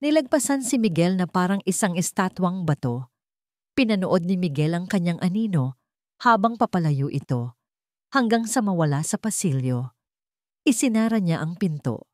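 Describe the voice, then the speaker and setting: Low-voiced Filipina romance storyteller. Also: female voice, animated, moderate pace, darkish tone, no echo, studio quality. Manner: emotional, dramatic reading. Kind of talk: dramatic scripted narration.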